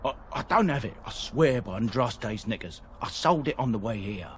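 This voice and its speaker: A man's voice: mid-range voice, lively intonation, normal speed, a little hiss or hum, close to an everyday voice. An actor playing a cowardly, nervous male merchant in a fantasy game, hesitant and evasive. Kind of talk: video game voice acting.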